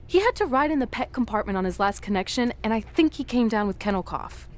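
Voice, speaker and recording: A woman's voice: fairly high pitch, animated, fast talker, lightly acted. A woman playing an exasperated, sarcastic female wrestler in a video game. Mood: wry. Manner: wry, exasperated.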